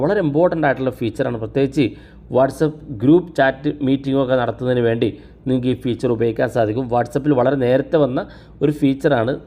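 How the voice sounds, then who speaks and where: Fast-talking man presenting a tutorial. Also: medium pitch, dark tone. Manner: instructional, addresses viewers directly. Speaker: tech tutorial host. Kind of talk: explanatory phone-app tutorial narration.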